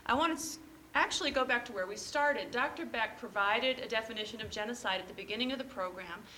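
A woman panelist starting her talk in a chatty, loud way with a few fillers, speaking in a lecture room. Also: on the high side, fast, a little hiss or hum, rough recording.

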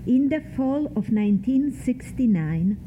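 An older woman from Poland, reading her own memoir in a measured, deliberate way, lingering on single words. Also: on the high side, expressive. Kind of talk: slow, measured literary reading. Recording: tinny phone-like sound, faint hiss.

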